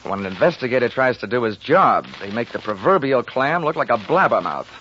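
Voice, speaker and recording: Hard-boiled detective, wry, clipped, animated intonation, 1940s radio drama, dull old recording. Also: male voice, mid-range voice, brisk, a little hiss or hum. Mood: sardonic, pressing. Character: wry, hard-boiled male insurance investigator. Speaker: lead actor and narrator of a radio detective drama.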